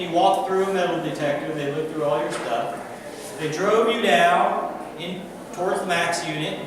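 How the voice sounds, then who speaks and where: An American man from Missouri giving a storytelling artist talk in a gallery, deliberate, with dramatic repetition and short phrases. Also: high voice. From Missouri, United States. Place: indoor gallery, recorded from a distance. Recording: very echoey gallery hall, distant mic, noisy.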